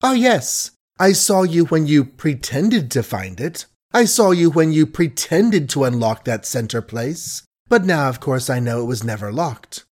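A man's voice: a little high, animated, unhurried, few pauses, darkish tone. A man voicing a young woman who mocks with sweet, suave sarcasm in an audiobook reading. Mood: sweetly mocking. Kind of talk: audiobook character voice.

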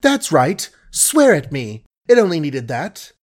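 A man's voice: on the high side, very animated, a little slow. A man voicing a scornful, tearful young woman who berates her suitor in emphatic, repetitive rants, in a storybook reading. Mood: accusing, wailing. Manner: emphatic, repetitive, ranting and mocking.

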